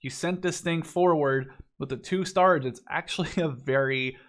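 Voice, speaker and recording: A man's voice: on the high side, normal speed, fairly bright tone. A man in his twenties giving casual game commentary in a rambling, conversational, opinionated way. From Netherlands. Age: 20s. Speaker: gaming video commentator.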